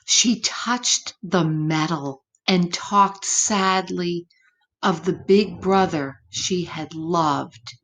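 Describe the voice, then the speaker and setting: A woman reading a story aloud to young children, gentle and expressive, pausing to chat and ask them questions. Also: on the low side. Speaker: children's story reader, teacher. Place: room at home.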